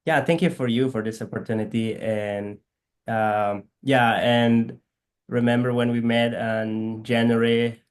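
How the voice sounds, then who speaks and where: A man from Guatemala with a Guatemalan Spanish accent, whose first language is K'iche', speaking haltingly as an interview guest and searching for words. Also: medium pitch, fairly even intonation. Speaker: guest, physical therapist missionary. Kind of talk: conversational interview.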